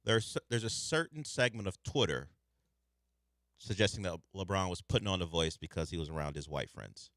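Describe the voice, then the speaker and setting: A man hosting a sports radio show, talking through the news in a conversational, measured way from a studio microphone. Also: deep voice, expressive, a bit bright, no room sound. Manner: conversational, measured, thinking aloud.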